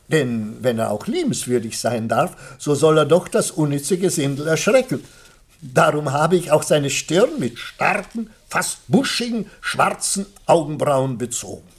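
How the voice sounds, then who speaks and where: A proud, middle-aged father and artist in a radio drama, besotted with his own work. His delivery is slow and grandiloquent, with a husky grain and effusive, theatrical phrasing. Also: on the high side, full character voice. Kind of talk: theatrical German radio play acting.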